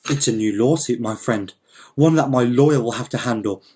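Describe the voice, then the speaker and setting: A man's voice: mid-range voice, normal speed, dark tone, natural voice. A man voicing a panicky, blustering landowner in a dramatic story, exasperated and repeating his commands. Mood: insistent, blustering.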